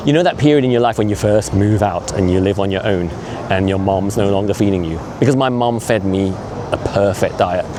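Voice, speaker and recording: A man in his fifties speaks in a low voice, earnest and opinionated, with a chatty vlog manner. He is walking outdoors on a city street. Traffic and street noise come through clearly behind him. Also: fairly quick. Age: 50s. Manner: opinionated, earnest, conversational with frequent asides. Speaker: vlogger. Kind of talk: casual walking vlog monologue. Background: traffic and urban street noise.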